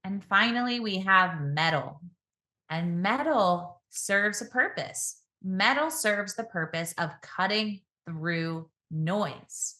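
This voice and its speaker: A woman speaking as a podcast guest and speaking coach, calm and reflective, slowing down and shifting her voice to demonstrate. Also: poor audio. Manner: calm, varies pace and pitch to demonstrate voice.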